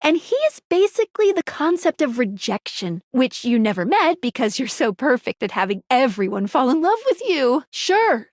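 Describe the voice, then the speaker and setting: High, perky, geeky game girl. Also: no room sound, clean studio sound. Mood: playful, chatty. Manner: peppy, quirky, slangy, stammers when flustered. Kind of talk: animated video game character dialogue.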